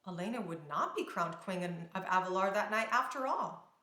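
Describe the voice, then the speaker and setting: A woman's voice: medium pitch, expressive, moderate pace, pushed and bright. A woman narrating a children's storybook aloud, reading expressively and carefully. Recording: roomy indoor echo.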